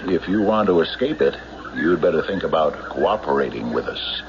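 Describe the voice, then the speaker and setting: An actor in an old-time radio drama playing a shrewd, relentless sheriff who questions suspects with deliberate, accusatory pauses. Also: male voice, rumbling low, lively intonation, dull audio. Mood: commanding, sardonic. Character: shrewd, relentless small-town sheriff interrogating murder suspects. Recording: noisy band-limited old radio broadcast recording.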